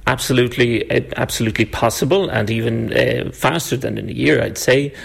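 A man's voice: medium pitch, brisk, creaky, slightly rough. A man being interviewed as a language planning officer, giving encouraging advice. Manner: encouraging, upbeat. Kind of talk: interview answers.

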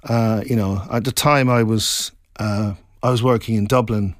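A middle-aged man from Scotland, an author being interviewed, talking in a reflective, meandering way with halting restarts. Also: lowish voice, fairly even intonation, no echo, studio quality. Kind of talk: casual interview chat.

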